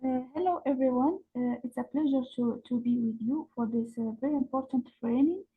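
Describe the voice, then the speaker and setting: A woman's voice: high-pitched, fairly quick, husky. A woman from Morocco, formally introducing herself as a doctoral student over tinny call audio. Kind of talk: self-introduction on a video call. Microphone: video call.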